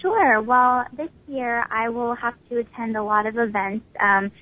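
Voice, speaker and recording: A woman's voice: on the high side, a little slow. A woman in her twenties with a small, tiny voice, answering interview questions politely with many ums. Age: 20s. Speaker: pageant winner guest. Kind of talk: phone interview. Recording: tinny phone line.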